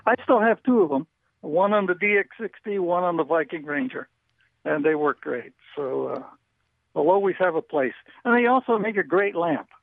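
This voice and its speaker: A man calling in, chatting casually about old radio gear, halting and repeating words, with a voice he calls squeaky. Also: animated, gravelly, dry recording. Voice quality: self-described squeaky voice. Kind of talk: casual ham radio chat. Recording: tinny phone line.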